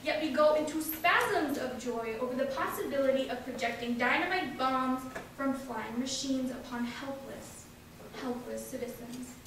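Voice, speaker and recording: A young woman giving a deliberate, declamatory reading of a political speech. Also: high-pitched. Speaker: reader of historical speeches. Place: large indoor hall at a live event. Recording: very echoey hall, distant mic, faint noise.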